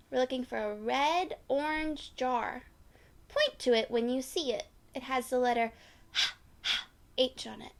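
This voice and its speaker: A woman reading a picture book aloud to young children in an encouraging, expressive way, often putting questions to her listeners. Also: high-pitched. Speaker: children's read-aloud storyteller.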